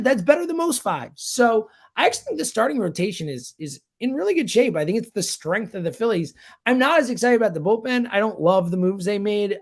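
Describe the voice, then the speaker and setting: A man's voice: sits high, vocal fry. A man talks sports in a casual, slangy way, like a radio guest breaking down a team. He is blunt and opinionated, leaning hard on his points with plenty of emphasis. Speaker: sports radio producer and host, guest commentator. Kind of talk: casual sports talk analysis.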